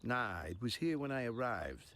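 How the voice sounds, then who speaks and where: A man's voice: medium pitch, sing-song, moderate pace, a bit bright. An actor playing a tight-lipped, evasive male driver in video game dialogue. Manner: terse, evasive.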